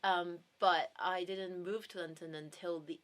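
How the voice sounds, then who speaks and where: Chatty woman from Hong Kong, lowish voice. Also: fairly even intonation, a little slow, fairly bright tone. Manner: chatty, many fillers and restarts, laughs easily. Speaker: guest, actor and drama teacher. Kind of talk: casual interview chat.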